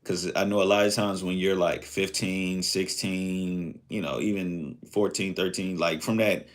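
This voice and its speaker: Deep-voiced man in his thirties rambling. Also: fairly even intonation, unhurried. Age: 30s. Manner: rambling, reflective, full of fillers. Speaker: streamer. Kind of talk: casual live-stream chat.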